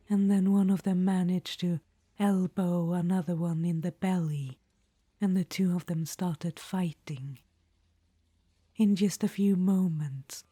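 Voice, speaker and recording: A woman's voice: on the low side, warm tone, dry recording. A woman voicing an ancient, vain undead witch-queen in an audio roleplay, whispering and calling the listener darling. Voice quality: breathy whisper.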